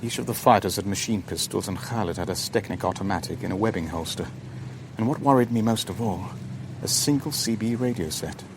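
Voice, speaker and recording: An actor playing a composed, wary businessman and shipowner in a radio drama, speaking in a measured, polite, understated way. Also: lowish voice, noisy background, lightly acted. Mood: measured, uneasy. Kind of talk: radio drama narration and dialogue.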